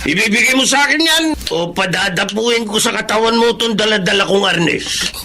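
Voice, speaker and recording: A man's voice: high-pitched, a little fast, a crowd in the background. A man playing a stern, suspicious middle-aged uncle in a radio drama, scolding and accusing. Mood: suspicious, threatening. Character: stern, suspicious uncle, threatening his scheming nephews.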